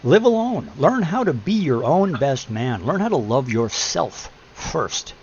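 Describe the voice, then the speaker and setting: A deep-voiced middle-aged man giving blunt, preachy advice in a casual chat, swearing freely. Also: big pitch swings, measured pace, darkish tone, husky. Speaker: podcast host. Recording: muffled lo-fi with faint hum.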